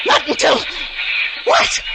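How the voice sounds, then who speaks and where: An actor in a vintage 1940s radio drama playing a hysterical, terrified lighthouse keeper in shrill, theatrical outbursts. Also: male voice, takes it slowly, heavy background noise, lightly acted. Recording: vintage 1949 radio broadcast with music.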